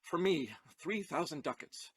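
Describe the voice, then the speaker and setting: An actor playing an earnest, distraught young gentleman lover in a Shakespearean audio play, with theatrical, declamatory delivery. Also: a little high, lively intonation, measured pace, slightly rough, exaggerated voice. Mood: fervent devotion.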